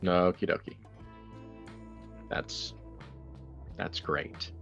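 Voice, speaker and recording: Man playing a low-voiced, weary, sardonic man. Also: little pitch movement, very slow, creaky, lo-fi sound, music in the background, exaggerated voice. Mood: weary sarcasm. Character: weary, sardonic not-quite-human man named David.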